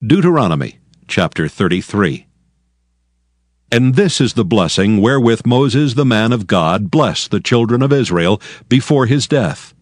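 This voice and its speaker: A man's voice: medium pitch, very animated, measured pace, dry recording, clean studio sound. A man narrating a dramatized scripture production in a scripted storytelling style.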